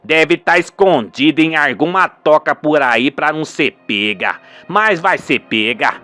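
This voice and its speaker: A man's voice: on the high side, expressive, a little slow, bright, forceful tone, no room sound. A man voicing an older rural father, indignant and vengeful, with folksy, emphatic speech. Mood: scornful, determined.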